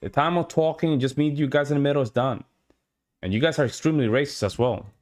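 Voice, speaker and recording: Blunt streamer, dismissive. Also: male voice, mid-range voice, fast talker, darkish tone. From Puerto Rico. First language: Spanish. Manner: blunt, dismissive, repeats himself. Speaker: reaction streamer. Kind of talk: live reaction commentary answering chat.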